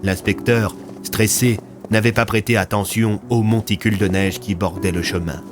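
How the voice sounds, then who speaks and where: A man's voice: lowish voice, steady tone, moderate pace, over music. A man narrating scripted audio fiction in a dramatic storytelling manner.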